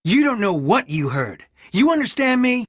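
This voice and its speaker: An actor playing a weary, guarded young fiancé with a wry, tired manner in a scripted video game. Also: male voice, extremely high pitch, big pitch swings, moderate pace, no echo, studio quality, exaggerated voice. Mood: defensive. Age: young adult.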